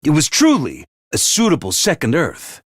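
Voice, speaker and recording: Excitable game mascot commander, highish pitch, hugely animated delivery, emphatic, theatrical, dry close sound. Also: male voice, moderate pace, dry recording. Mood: solemn explaining. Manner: theatrical, emphatic, swinging from grave to bombastic. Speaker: commander addressing students. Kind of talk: animated video game voice acting.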